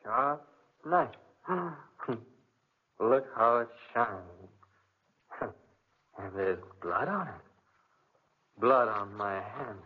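A dazed madman from an old radio play. He speaks extremely slowly in a husky voice, vacant and halting, repeating himself. The sound is tinny and narrow. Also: lowish voice, expressive, exaggerated voice. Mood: dazed, eerie. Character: drugged, dazed man wandering in madness. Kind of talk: 1940s radio acting. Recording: tinny, thin 1940s radio recording.

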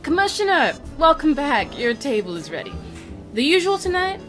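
An actress playing a brassy, welcoming speakeasy owner in a 1920s gangster audio drama. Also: female voice, sits high, very animated, normal speed, fairly bright tone, faint music behind. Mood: breezy, welcoming.

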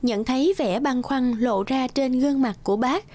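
A woman's voice: high voice, normal speed, warm tone. A woman narrating a scripted fairy tale for children.

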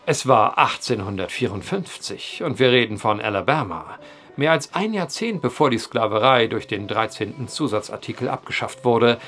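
An actor playing a wry male journalist who tells an eerie old tale with deliberate phrasing in an audio drama. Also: medium pitch, lively intonation, a bit bright, faint music behind. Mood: measured storytelling. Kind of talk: German audio drama acting, storytelling.

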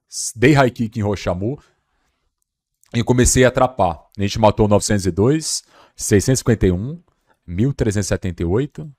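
A man's voice: on the low side, darkish tone, no echo, studio quality. A young Brazilian man casually recounting gameplay on a stream. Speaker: gaming streamer. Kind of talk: casual game-stream recap. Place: indoor streaming setup, close and dry.

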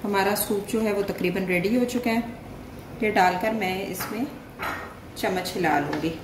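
A woman walks viewers through a home recipe one step at a time, friendly and plain, with small pauses. Her voice sounds a little distant from the microphone, in a reverberant room. A faint hiss sits under it. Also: mid-range voice. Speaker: cooking channel host. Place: home kitchen. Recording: echoey room, voice a bit distant, faint noise.

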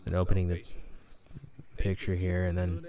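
A man giving casual director's commentary in a hesitant, musing, low-key way. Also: completely flat delivery, moderate pace, crowd noise. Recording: drama dialogue playing behind the voice.

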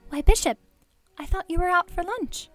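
A woman plays an eager housemaid in an audio drama, very deferential and fluttery. Her voice is high, with music behind it. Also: normal speed, no room sound. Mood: uneasy. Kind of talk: radio-play style dramatic acting.

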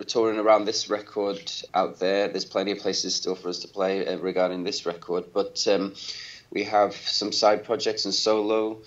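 Low-voiced man on a lo-fi video call. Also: flat delivery, moderate pace. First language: Welsh. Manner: thoughtful, searching for words, many restarts. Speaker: bassist guest. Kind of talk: casual interview answers. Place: home room. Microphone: laptop video call.

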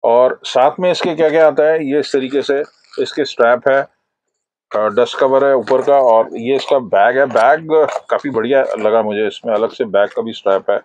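A man's voice: mid-range voice, moderate pace, darkish tone, dry recording, highs cut off. A middle-aged man in a shop, explaining binoculars in a product review, hesitating often with "uh" fillers. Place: binocular shop, indoors, shelves of boxes. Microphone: lapel mic.

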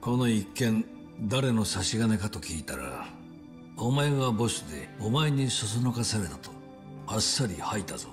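An actor playing a composed middle-aged yakuza boss in a dramatic game scene, measured, grave and authoritative. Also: male voice, fairly low pitch, very animated. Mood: stern, grave. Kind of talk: dramatic video game acting in Japanese. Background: music.

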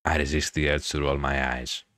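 A man hosting a gaming video, reading a visual novel aloud in a dramatic, drawn-out storytelling way with casual commentary. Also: rumbling low, flat delivery, fairly bright tone, no room sound, clean studio sound.

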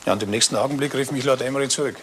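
An actor playing a hesitant, deferential household witness in a German radio crime drama, halting and evasive. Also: male voice, medium pitch, slight background noise, close to an everyday voice. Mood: nervous, reluctant.

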